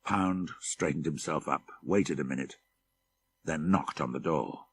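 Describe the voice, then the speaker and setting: A man reading a horror story as an audiobook narrator, measured and deliberate, with dramatic emphasis. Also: very deep voice, slight background noise.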